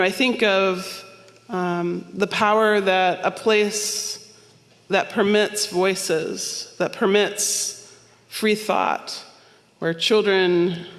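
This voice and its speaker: A woman minister giving a sermon, telling stories in a conversational way with small asides. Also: medium pitch. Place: church sanctuary. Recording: long hall reverb.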